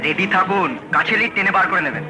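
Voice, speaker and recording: An actor playing an earnest, helpful young sidekick in an old radio play. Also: male voice, high-pitched, close to an everyday voice, rough recording. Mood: urging. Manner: brisk, earnest. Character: eager young male sidekick, helpful and quick. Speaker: Topshe. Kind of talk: 1970s radio drama acting. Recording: old radio broadcast with music.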